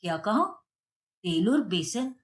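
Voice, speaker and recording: A woman's voice: medium pitch, animated, slow pace, pitched below the performer's own voice. A woman voicing a middle-aged neighbor aunty in a Hindi cartoon story, inquisitive and gossipy. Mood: surprised, obliging.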